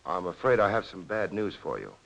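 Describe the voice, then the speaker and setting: An actor playing a businesslike police lieutenant in a 1940s crime film, measured, polite and probing. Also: male voice, low voice, very animated, vocal fry, a bit bright, full character voice, rough recording. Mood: grave, polite. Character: steady, businesslike police lieutenant questioning witnesses. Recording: hissy old 1940s film soundtrack.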